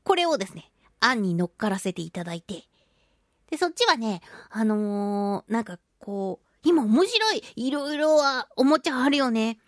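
Female radio host, high and very animated voice, playful giggly chat, dry studio-close sound. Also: normal speed, dry recording. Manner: giggly, chatty, playfully self-narrating in mock-polite phrases. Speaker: radio show host and voice actress. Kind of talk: casual chatty solo radio talk.

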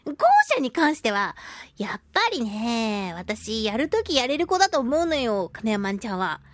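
A woman's voice: a little high, sing-song, moderate pace, no room sound. A woman hosting a radio talk show, chatting casually in a playful, cutesy, effusive way. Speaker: radio show host, voice actress.

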